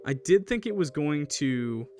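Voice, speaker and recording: A man chatting casually on a livestream as a gamer, halting and hesitant, with a self-deprecating manner. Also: a little high, lively intonation, darkish tone. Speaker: streamer playing and reviewing a game. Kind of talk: casual livestream chat, reacting to a game and viewer comments.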